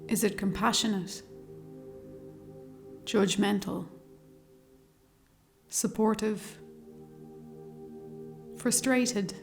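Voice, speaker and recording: A woman leading a guided meditation, speaking slowly and calmly in a soothing, measured way with long pauses. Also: mid-range voice. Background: meditation music with singing bowls.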